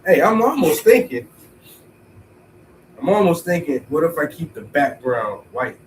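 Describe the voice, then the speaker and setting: A man thinking aloud in a relaxed, rambling way as he paints, sounding stuffy and tired with a cold. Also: fairly high pitch, a little slow, recorded from a distance, a little hiss or hum, poor audio. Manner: laid-back, rambling, thinking aloud, swears casually. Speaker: painter streaming while painting. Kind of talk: casual talk to himself while painting.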